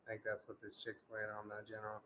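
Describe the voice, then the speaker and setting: A man's voice: fairly low pitch, completely flat delivery, fast talker, pushed and bright, a little hiss or hum, poor audio. A man in his forties chatting casually, loose and profane, teasing the others with jokes. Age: 40s. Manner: loose, profane, joking banter. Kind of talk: casual group chat.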